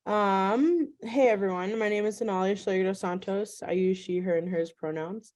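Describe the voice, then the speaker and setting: A woman's voice: medium pitch, moderate pace, darkish tone. A young woman from Minneapolis speaking casually on a video call panel, with frequent ums. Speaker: youth program coordinator.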